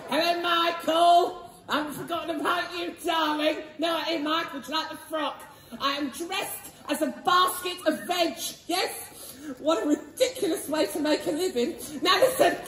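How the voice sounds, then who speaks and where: Male performer for British panto dame: very high voice, brash and exclamatory hard-up mother, echoey stage. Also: bright, forceful tone. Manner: exclamatory, bantering with the audience. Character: brash, hard-up comic mother in a garish frock. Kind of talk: live stage pantomime comedy. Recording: echoey theatre hall, faint backing music.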